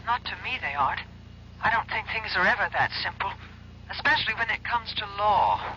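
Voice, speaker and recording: A woman's voice: medium pitch, lively intonation, a little fast, pushed and bright. An actress playing a worried young woman calling her boyfriend, anxious and pleading, heard through a phone filter. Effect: telephone filter. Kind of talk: 1970s radio drama acting. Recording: dull old radio recording with faint hiss.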